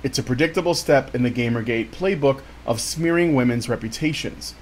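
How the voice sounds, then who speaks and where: A man's voice: medium pitch, brisk, slight background noise. A man reading an article aloud in a dramatic storytime style, with theatrical, exaggerated emphasis.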